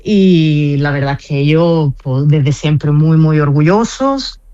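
A woman's voice: sits low, lively intonation, slow, warm tone. Young woman, andalusian accent with italian tinge, chatty, many fillers, muffled phone line. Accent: Andalusian Spanish mixed with Italian. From Spain. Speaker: press officer of a racing team. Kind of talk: phone-in radio interview.

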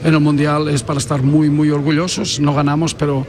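A man who coaches a national football team, giving a post-match interview in a halting, measured way and often searching for words. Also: fairly high pitch, little pitch movement. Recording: crowd noise behind.

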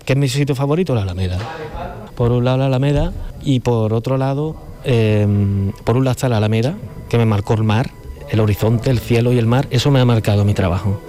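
A middle-aged painter with a Cádiz Andalusian accent, chatting as an interview guest in a loose, rambling way. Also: male voice, mid-range voice, a little fast, warm tone, soft background music. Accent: Cádiz Andalusian Spanish. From Cádiz, Spain. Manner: rambling, loose talker who often circles back. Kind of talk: relaxed conversational interview.

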